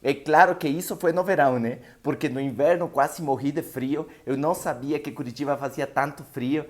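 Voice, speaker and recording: A man's voice: fairly high pitch, moderate pace, a little mellow. A man whose first language is Spanish, with a Spanish-speaking accent, giving a live motivational seminar talk as an emphatic storyteller who keeps checking in with his listeners.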